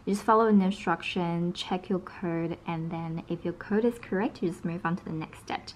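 A woman's voice: lowish voice, normal speed, rough recording. A woman, chatting casually to camera as a vlogger at home. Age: 20s. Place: indoors at home, living room or bedroom. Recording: noticeable background noise.

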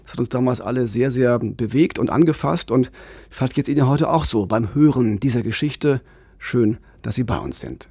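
Gravelly, husky middle-aged man. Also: mid-range voice, lively intonation, moderate pace, mellow, no echo. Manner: earnest, engaged, speaks straight to listeners. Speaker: co-host, crime reporter. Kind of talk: conversational true-crime podcast storytelling. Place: radio studio. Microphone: large studio mic on a boom, headphones.

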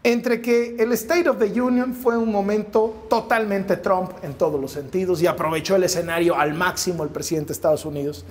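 A man in his forties with a Mexican accent, giving emphatic political commentary straight to camera and repeating phrases for effect. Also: high voice. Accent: Mexican Spanish. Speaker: news commentator. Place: wood-paneled indoor set. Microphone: lapel mic, framed to camera.

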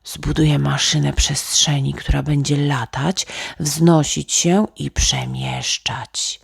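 A woman voicing a dreamy, excited little boy inventor in a children's bedtime story, musing aloud eagerly. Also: low-pitched, slow pace, gravelly, breathy edge, dry recording, studio quality, exaggerated voice. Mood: dreamy, determined.

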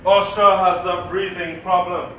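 Casting: mild husband, high voice, measured and hesitant, echoey distant theatre recording. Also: male voice, little pitch movement, fairly bright tone, slight background noise. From Jamaica. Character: mild, educated, evasive middle-class husband. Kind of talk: comedic stage play acting. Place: theatre stage before an audience.

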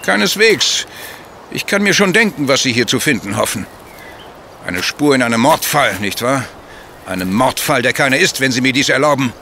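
A gentleman widower under suspicion in a radio play. His voice is middle-pitched and sharp, his manner formal, insistent and defensive. There is faint outdoor ambience behind him. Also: sing-song, normal speed, slight background noise. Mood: coolly dismissive. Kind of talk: German radio play acting.

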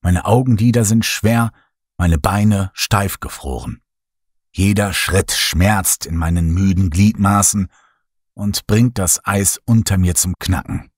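A man narrating a horror audiobook in the first person, measured, somber and suspenseful, with dramatic delivery. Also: deep voice, husky, no room sound, studio quality.

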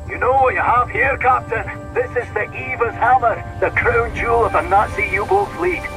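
A man playing a proud, eager submarine crewman in a game, talking in an excited, deliberate way. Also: very high-pitched, music in the background, low-quality recording.

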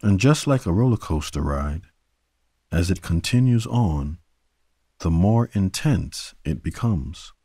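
A man with a very low, mellow voice guides a bedtime meditation. He speaks gently and soothingly, letting each phrase settle before the next. The sound is close and very dry. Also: lively intonation, husky, no echo. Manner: gentle, soothing, unhurried delivery with long pauses. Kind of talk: guided sleep meditation narration.

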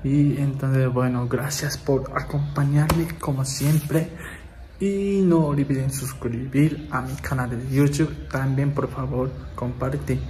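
A man's voice: mid-range voice, fairly even intonation, slow, warm tone, low-quality recording. A young man from Japan, speaking with a Japanese accent, chats casually in a vlog, repeating words and calling his viewers friends. He films himself on a phone held at arm's length. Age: young adult. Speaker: vlogger. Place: city street outdoors, then indoors at a table. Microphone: wireless earbuds, phone at arm's length. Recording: street noise behind.

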